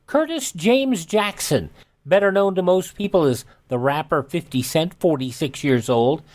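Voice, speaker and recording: A man's voice: a little high, expressive, measured pace, a little mellow. A man hosting a radio show, reading items and announcements in a presenter's style. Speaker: radio host.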